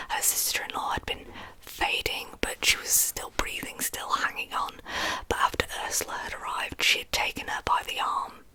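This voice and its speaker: A woman whispers a true crime story for an ASMR channel. Her voice is all breath, very crisp and bright in its hiss. She tells it softly, like a storyteller, with casual asides along the way. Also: low-quality recording.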